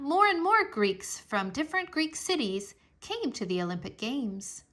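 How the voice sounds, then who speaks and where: A woman reading a scripted story aloud for children in an expressive, deliberate storytelling manner. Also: high-pitched. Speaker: storybook narrator, English teacher.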